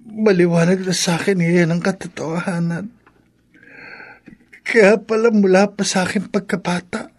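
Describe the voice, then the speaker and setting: A husky, slow-speaking, anguished youth in a horror radio drama. The delivery is pained, sorrowful and hesitant. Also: female voice, mid-range voice, a little mellow. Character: anguished young person learning of own demon blood.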